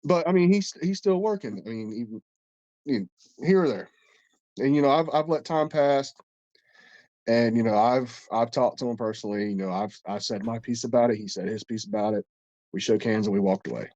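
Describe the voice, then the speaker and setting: A man's voice: medium pitch, brisk, dark tone, no echo. Man, stuffed-up nose, casual rambling podcast talk, profane, dry dull audio. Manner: rambling, profane, with frequent restarts. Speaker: podcast co-host.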